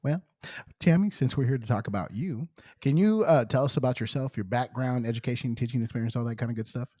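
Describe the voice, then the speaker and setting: A man's voice: mid-range voice, quick pace, warm tone, no room sound, clean studio sound. A man hosting a casual podcast, joking around and asking his guest questions. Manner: joking, playful interviewer. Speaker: podcast host.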